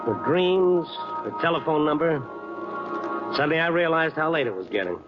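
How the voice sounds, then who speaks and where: An American actor playing a wisecracking, protective newspaper reporter in old radio drama, wry, slangy and world-weary. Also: male voice, a little high, animated, moderate pace, close to an everyday voice. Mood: wry, narrating. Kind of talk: 1940s-50s radio drama acting and narration. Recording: dull old radio recording, loud music.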